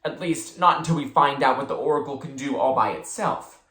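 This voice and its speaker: A storybook reader voicing a bossy, theatrical boy who schemes smoothly and likes to play high priest. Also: a little fast, a bit bright, reverberant, natural voice. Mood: feigned innocence. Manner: solemn chanting, mock-innocent persuasion.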